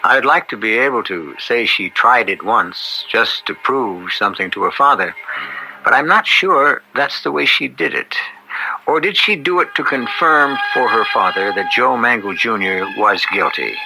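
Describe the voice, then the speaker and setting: A man hosting an old-time radio anthology, narrating in a wry, measured, reflective way. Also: medium pitch, expressive, vocal fry, background noise. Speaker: host.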